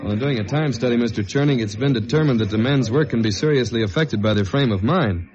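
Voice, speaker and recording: An actor playing a dry, steady undercover investigator with an American accent, in the style of 1950s radio detective drama. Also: male voice, mid-range voice, quick pace, a crowd in the background, lightly acted. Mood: measured. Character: undercover investigator man narrating his case, dry and steady. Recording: muffled old radio recording with music.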